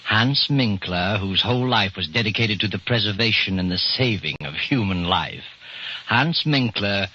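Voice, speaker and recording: Low, ominous mystery storyteller. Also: male voice, bright, forceful tone, slight background noise. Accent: American. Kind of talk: 1940s radio mystery narration. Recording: muffled 1940s radio broadcast with music.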